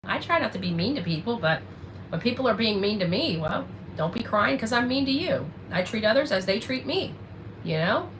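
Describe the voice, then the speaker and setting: A woman's voice: medium pitch, sing-song, normal speed, fairly bright tone. A woman ranting casually in a blunt, defensive, profane manner on noisy livestream audio.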